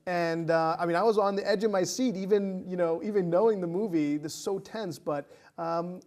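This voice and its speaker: Higher-voiced man in casual movie talk. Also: brisk, darkish tone. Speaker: podcast guest or co-host.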